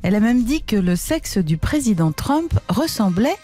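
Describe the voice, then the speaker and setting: A woman co-hosts a radio comedy segment as the straight interviewer. Her pitch is medium, and faint music plays behind her. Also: sing-song, normal speed, warm tone. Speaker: radio co-host, straight partner. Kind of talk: scripted comic interview.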